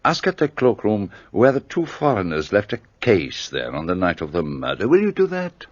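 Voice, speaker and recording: An actor playing a precise, courteous middle-aged detective in a radio play. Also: male voice, lowish voice, wildly swooping pitch, normal speed, dry recording. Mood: inquiring. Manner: courteous, precise, slightly formal foreign phrasing. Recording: dull lo-fi sound.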